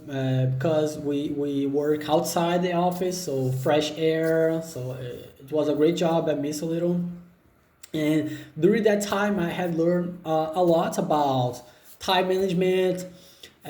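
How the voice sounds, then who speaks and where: Brazilian man, halting, roomy laptop sound. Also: on the high side, mellow. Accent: Brazilian Portuguese. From northeast Brazil. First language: Portuguese. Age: 20s. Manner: unhurried, hesitant with frequent fillers and restarts. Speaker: job seeker. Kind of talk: self-introduction video.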